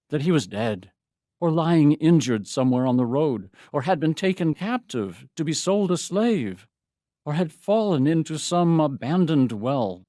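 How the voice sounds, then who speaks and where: A man performs a devoted, troubled father in a first-person poetic monologue. He speaks very slowly and deliberately, with solemn weight. The voice is soft-edged and mellow, of middling pitch, in a close, dry recording. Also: no echo. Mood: fearful. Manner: solemn, measured, deliberate storytelling. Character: Saint Joseph, troubled, devoted stepfather recalling his lost boy. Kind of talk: dramatic poetry reading, first-person monologue.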